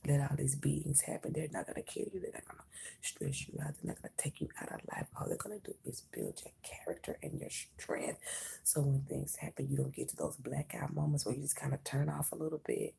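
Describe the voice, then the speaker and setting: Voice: medium pitch, very animated, a little fast, creaky, warm tone, slightly rough. A tarot reader giving an intimate, whispered reading to the viewer, emphatic and reassuring, calling the listener honey and mama. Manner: emphatic, reassuring, repeats phrases.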